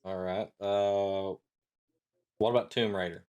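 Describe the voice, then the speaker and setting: A man's voice: fairly low pitch, flat delivery, slow pace, a little mellow, clean tone, dull audio. A middle-aged man hosting a casual chat, asking questions in a joking way. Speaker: talk show host.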